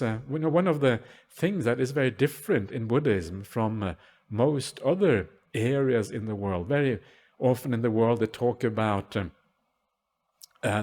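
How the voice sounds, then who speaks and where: A man with a husky voice and a middle pitch answers questions at a monastery meditation retreat. He speaks gently and haltingly, pausing often on "uh" fillers between his thoughts. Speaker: Buddhist monk answering questions. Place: retreat hall at a forest monastery.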